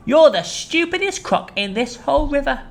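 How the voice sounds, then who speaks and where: Casting: reader putting on a scornful little crocodile, high and mocking, storybook. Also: very animated, moderate pace, creaky, full character voice. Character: scornful smaller male crocodile.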